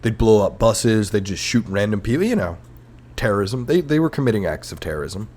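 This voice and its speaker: A man's voice: fairly low pitch, sing-song, fairly quick, darkish tone. A man hosting a comedic history podcast in a rambling, sarcastic, self-mocking monologue. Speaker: podcast host.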